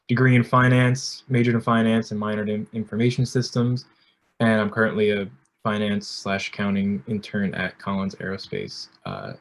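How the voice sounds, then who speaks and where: Young man, 20s, low-pitched and monotone, casual panelist with fillers on a video call. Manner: casual and friendly, with frequent fillers. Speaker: graduate student panelist. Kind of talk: workshop self-introduction.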